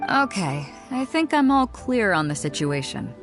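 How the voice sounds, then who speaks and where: A woman's voice: mid-range voice, sing-song, unhurried, a bit bright, soft background music. A woman voicing a confident, fair-minded ship captain in a video game, speaking in an assured, casual and persuasive way. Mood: calm, assured.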